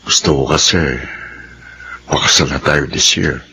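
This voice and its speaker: An actor playing an earnest, devoted suitor in a Filipino radio drama, with a Filipino accent. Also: male voice, a bass voice, measured pace, vocal fry, pushed and bright, husky, heavily acted. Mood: earnest, pleading.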